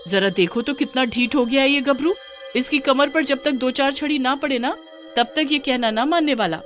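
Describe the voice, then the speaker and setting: Village wife, played by the female narrator: pitched up, shrill and scolding, dismissive, storybook style, light music behind. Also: moderate pace, a bit bright. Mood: irritated. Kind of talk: dramatized bedtime story.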